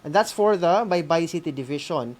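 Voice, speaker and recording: A Filipino co-host of a radio school program giving announcements to students in a halting way, often ending phrases with a questioning "no?". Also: mid-range voice, lively intonation. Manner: halting, often adds "no?" as a tag. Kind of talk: semi-scripted announcements to students on air.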